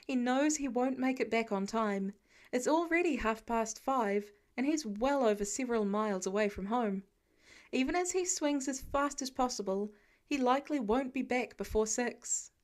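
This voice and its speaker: A woman's voice: fairly high pitch, animated, moderate pace. A woman reading a story aloud as scripted narration for an amateur audiobook. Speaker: fan fiction audiobook reader.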